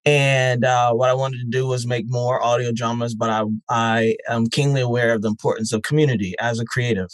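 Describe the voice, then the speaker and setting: A man answers interview questions in a measured, thoughtful way, pausing often on "uh" and "um". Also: mid-range voice, little pitch movement, no room sound, poor audio. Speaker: guest, network founder. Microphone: remote call.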